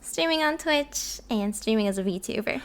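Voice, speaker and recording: A woman's voice: a little high, animated, moderate pace. A woman chatting casually as a podcast guest, giggly and often laughing between phrases. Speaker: podcast guest, voice actor and streamer. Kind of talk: casual chat.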